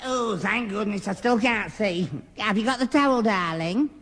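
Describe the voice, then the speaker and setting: A man's voice: sits high, moderate pace, a bit bright. A man playing a hapless, dim young man in a 1960s radio sitcom, bumbling and stuttering. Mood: exasperated. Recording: echoey hall, faint noise, old radio.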